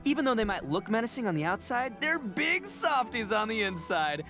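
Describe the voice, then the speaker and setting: A man's voice: high voice, sing-song, moderate pace, music in the background. An actor playing a boastful young male oni gang boss in a game, hearty and full of laughs. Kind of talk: game cutscene voice acting.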